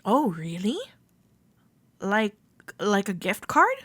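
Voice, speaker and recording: Female actor as welcoming club member, slow pace, gentle and playful, chatty, dry intimate sound, roleplay audio. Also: mid-range voice, big pitch swings, no room sound, heavily acted. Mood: curious, puzzled. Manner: gentle, reassuring, playful, hesitant soft-spoken chattiness. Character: friendly, teasing female cuddle club member welcoming a shy newcomer. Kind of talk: roleplay audio drama, spoken directly to the listener.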